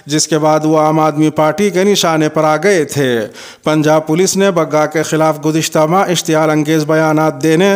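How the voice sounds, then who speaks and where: A man presenting scripted news as host, in a formal, measured bulletin manner. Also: on the high side, smooth.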